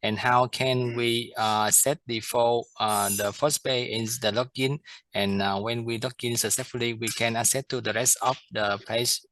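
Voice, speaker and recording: A man in a work meeting gropes for words as he asks his questions, filling the gaps with pauses. The sound is dry and close. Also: medium pitch, fairly even intonation, fairly bright tone, dry recording. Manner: hesitant, many fillers, halting English. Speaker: client team member asking questions. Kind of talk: casual work meeting talk.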